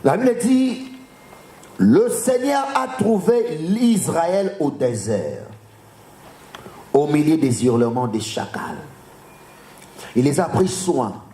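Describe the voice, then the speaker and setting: Male guest preacher, Portuguese-accented French, slow deliberate delivery, urging louder responses, very echoey church hall heard from a distance. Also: fairly high pitch, very animated. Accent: Portuguese-speaker's French. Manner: exhorting, urging the congregation to answer louder; bursts into shouted emphasis. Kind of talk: church sermon. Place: church service.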